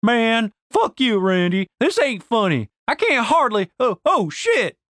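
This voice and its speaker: A narrator voicing a brash, foul-mouthed young country woman with a blunt, excitable, folksy drawl, as audiobook character dialogue. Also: mid-range voice, normal speed, no room sound, clean studio sound, voice pushed up. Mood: panicked, furious.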